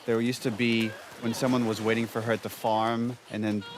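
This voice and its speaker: A man with a lowish voice talks informally, like a developer discussing creative choices with colleagues. Noticeable ambient noise runs behind the recording. His delivery is conversational and unscripted. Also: little pitch movement, moderate pace. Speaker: game developer commentator. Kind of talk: casual discussion commentary. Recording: clearly noisy background ambience.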